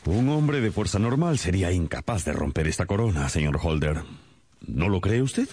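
A man acting in a radio drama as a confident, methodical gentleman detective with a measured, courteous, authoritative manner. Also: sits low, big pitch swings, husky, exaggerated voice. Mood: brisk, probing. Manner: measured, authoritative, polite questioning.

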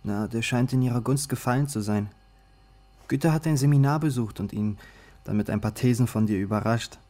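An actor playing a worried young male student in a radio crime play, talking in an uneasy, colloquial way with a husky voice. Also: mid-range voice, normal speed, warm tone, close to an everyday voice. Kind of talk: German radio play dialogue.

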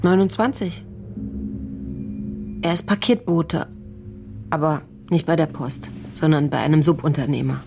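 Besorgte, zögerliche Tante. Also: female voice, low-pitched, vocal fry, noisy background. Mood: worried, apologetic. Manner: hesitant, halting, unsure, plain-spoken. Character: worried, apologetic working-class aunt defending her nephew. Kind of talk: naturalistic German radio crime drama dialogue.

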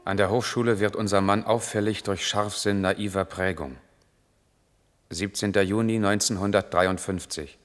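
An actor as a deep-voiced brooding man, long reverb. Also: steady tone, normal speed, natural voice. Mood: sober, measured. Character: brooding male lover, an East German intellectual, torn and evasive. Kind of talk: 1980s German radio play acting, measured narration and intimate dialogue. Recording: long reverb, music in the mix.

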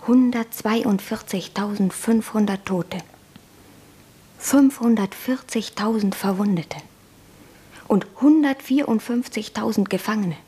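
An actress playing an earnest young nurse in wartime who confides secrets and slips into urgent whispers, in a German radio drama. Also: female voice, medium pitch, unhurried, warm tone, close to an everyday voice. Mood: confiding. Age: young adult.

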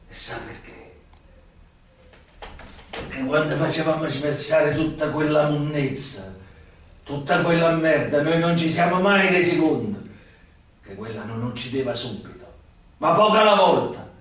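An actor playing an old, sick, brooding mobster turned informant in a radio play, halting and theatrical, with long pauses. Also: male voice, fairly high pitch. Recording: very echoey, distant recording with music behind.

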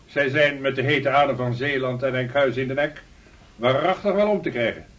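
A man's voice: mid-range voice, fairly quick, fairly bright tone, distant mic, lo-fi sound, lightly acted. Man as commanding chairman, a bit off-mic. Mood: firm. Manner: authoritative, calling order. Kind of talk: radio play acting.

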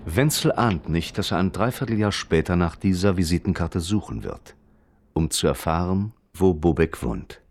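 Radio drama narrator, low-pitched, detached and observant, precise, dry wording, varied intonation. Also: male voice, lively intonation, normal speed, darkish tone.

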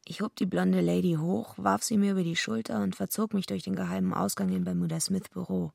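An actress playing a tough, streetwise, defiant female loner in a radio drama, with a blunt, slangy, deadpan delivery. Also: fairly low pitch, a little fast, gravelly, no room sound, clean studio sound. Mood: dry narration. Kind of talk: radio drama acting with first-person narration.

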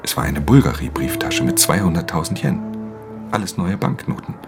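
An actor playing a brooding, solitary pickpocket who narrates his crimes in a controlled, introspective, hushed way in a radio drama. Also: low voice, animated, moderate pace. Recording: studio radio drama with prominent music.

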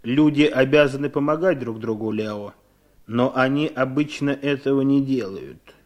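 A man's voice: mid-range voice, moderate pace, a little mellow, lightly acted. An actor playing a scheming, coaxing middle-aged father in a 1970s Soviet radio drama. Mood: sly, persuasive. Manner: insinuating, coaxing.

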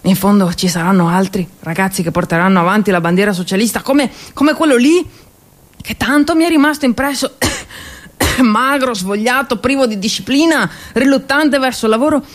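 Intense woman performing a dramatic monologue. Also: a little high. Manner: intense, emphatic, dramatic pauses. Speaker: stage actress performing her monologue. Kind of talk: dramatic theatrical war monologue.

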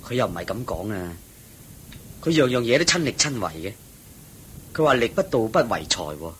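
An actor with a Hong Kong Cantonese accent playing an earnest monk disciple in a radio drama, deferential and inquiring. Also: male voice, big pitch swings, normal speed, fairly bright tone. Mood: respectful reporting. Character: Ananda, earnest, respectful male monk disciple. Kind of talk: Buddhist radio drama acting. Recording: noisy recording with low rumble.

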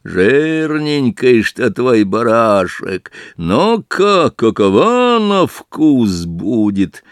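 A man voicing a greedy, cruel old robber woman in a fairy-tale audiobook, with a gloating, drawn-out drawl. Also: medium pitch, sing-song, dry recording, studio quality, exaggerated voice.

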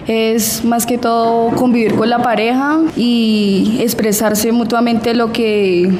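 A woman's voice: a little high, little pitch movement, slow pace. A woman giving casual answers as an interviewee in a vox-pop. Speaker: street interviewee. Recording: noisy ambient background.

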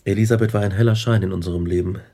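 Radio drama casting: earnest brooding scientist, low creaky voice, reflective narration. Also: male voice, fairly even intonation, very fast, dark tone. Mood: reflective, sombre.

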